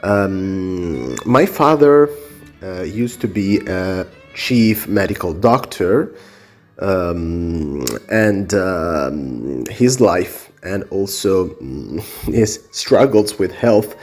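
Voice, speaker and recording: A man's voice: sits low, expressive, drawn-out words, darkish tone, soft background music. A man from Italy with an Italian accent, hosting a solo podcast, speaking off the cuff with many fillers and restarts, recorded on a cell phone. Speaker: podcast host. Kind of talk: unscripted solo podcast talk.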